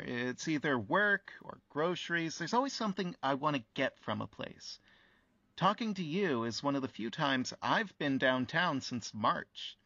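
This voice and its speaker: A man's voice: on the high side, sharp tone, no room sound, heavily acted. A man playing a thoughtful, affable interviewer who chats reflectively in a casual radio play. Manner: reflective, conversational, agreeable. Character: thoughtful, affable male interviewer of talking buildings. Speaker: interview host. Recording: dull, dry lo-fi audio.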